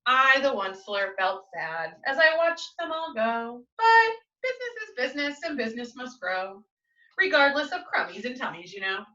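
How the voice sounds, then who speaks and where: A woman's voice: high voice, sing-song, normal speed, pushed and bright, full character voice. A woman reading a storybook as a greedy, stubborn industrialist who justifies himself in emphatic rhyme. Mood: self-justifying. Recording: roomy, slightly dull, distant microphone.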